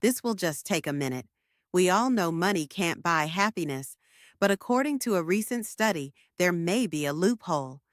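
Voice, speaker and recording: A woman reading scripted science passages aloud as a narrator, in a measured, articulate way. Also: lowish voice, lively intonation, no echo, studio quality.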